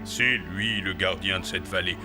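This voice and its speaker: A man voicing a kindly, wise talking tree who mentors young animals in a cartoon, with a measured theatrical delivery. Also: low voice, natural voice. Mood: careful, instructing. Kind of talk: cartoon dub acting. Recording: music under the dialogue.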